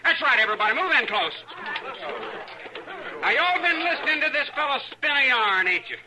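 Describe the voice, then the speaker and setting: An actor playing a bitter young man bent on avenging his father, with a rough-edged rural Western drawl, in an old-time radio western. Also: very high-pitched, big pitch swings, fairly quick, crowd noise. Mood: taunting, challenging. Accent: American rural Western drawl. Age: young adult. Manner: folksy, insistent, accusing. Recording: bright, lo-fi old radio broadcast.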